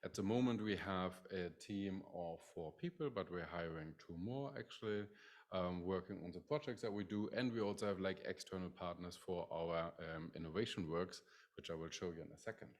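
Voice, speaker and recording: Middle-aged German-accented man, low voice, professor giving a conference talk, filler-heavy. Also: normal speed. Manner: many "like" fillers, restarts. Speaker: professor presenting his lab. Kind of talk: conference presentation.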